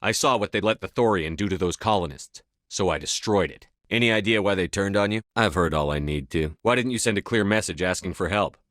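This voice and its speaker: A man's voice: deep voice, brisk, few pauses, fairly bright tone, dry recording, clean studio sound. A man acting a stern, resolute space soldier in a video game, with a blunt, authoritative, clipped delivery. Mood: accusing, resolute.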